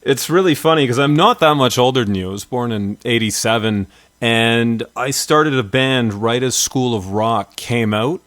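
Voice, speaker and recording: A man in his thirties from Canada hosts a radio interview in an upbeat, rambling, chatty way. His voice sits at an ordinary middle pitch. The recording is dry and close, with no room echo. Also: moderate pace, fairly bright tone. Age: 30s. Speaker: radio show host.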